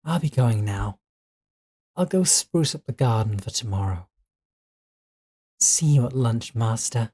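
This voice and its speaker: A man's voice: medium pitch, lively intonation, measured pace, pauses often, mellow, husky. A man playing an obsessive, possessive servant in a scripted roleplay audio drama, coaxing and deferential yet controlling. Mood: resigned, controlling.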